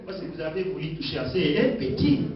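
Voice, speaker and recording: A high-voiced man from Africa preaches fervently to a responding congregation, jumping from shouted praise to quiet asides. He sounds far from a dull microphone in a booming hall. A crowd is heard around him. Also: very animated, quick pace, noisy background. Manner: fervent preaching, swinging between loud exclamations and quiet asides. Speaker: pastor. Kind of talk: Pentecostal sermon with call and response. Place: church hall during a worship service. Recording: echoey hall, distant dull mic, congregation.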